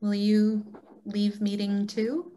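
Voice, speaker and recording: Woman acting as a teasing, deliberate deity in a stage-reading comedy, slow delivery, middle pitch. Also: completely flat delivery, warm tone, close to an everyday voice. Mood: wry, teasing. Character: God on a video call, wry and playful. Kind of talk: reader's theatre comedy. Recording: video call reader's theatre.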